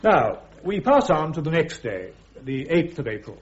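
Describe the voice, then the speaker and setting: An actor playing a brisk army major prosecuting at a court-martial in a radio drama, with a British accent and formal, methodical questioning. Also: male voice, a little high, sing-song. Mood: brisk, probing. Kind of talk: full-cast radio drama acting. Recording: dull, muffled radio recording.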